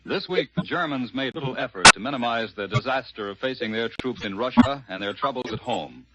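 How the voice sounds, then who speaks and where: An American man anchors a wartime radio newscast, reading formally from a script. His voice is of middle pitch. The old broadcast sounds muffled. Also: expressive, fairly quick, bright, forceful tone. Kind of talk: scripted 1940s news announcing.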